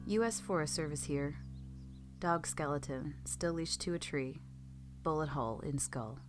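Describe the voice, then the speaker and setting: A woman's voice: low-pitched, a little slow, soft background music. A woman reading scripted true scary stories as a storytelling narrator.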